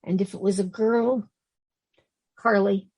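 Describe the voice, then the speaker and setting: A woman acting a grieving, emotional woman in her late fifties in a play reading, speaking in a halting, heartfelt way. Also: mid-range voice, fairly even intonation, a little mellow, husky. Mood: tearful, confiding. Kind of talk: play reading, naturalistic dialogue.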